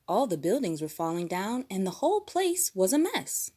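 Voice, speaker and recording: A woman narrates a children's storybook. Her voice sits at a medium pitch and moves up and down in a lively, expressive way. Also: moderate pace, slightly rough.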